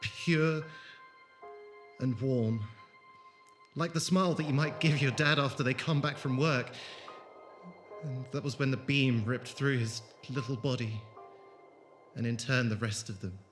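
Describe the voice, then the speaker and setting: Guy doing a haunted old-squad leader in an audio drama, crisp forceful voice, dramatic, bit of music under him. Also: mid-range voice, measured pace, pauses often, lightly acted. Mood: haunted, sombre. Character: haunted, grieving male former soldier, brisk leader of travellers.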